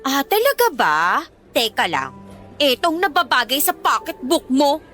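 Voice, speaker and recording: A woman's voice: sits high, wildly swooping pitch, unhurried, fairly bright tone, faint music behind, exaggerated voice. A woman playing a spiteful, haughty teenage bully in a Filipino radio drama, with taunting, sarcastic delivery. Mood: spiteful, defiant.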